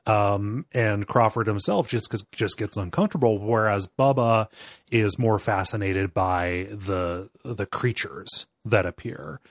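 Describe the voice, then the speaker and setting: A man's voice: fairly low pitch, a little slow, no room sound, studio quality. A man co-hosting a podcast, casually retelling and discussing a film, acting out paraphrased lines in conversation. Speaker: podcast co-host.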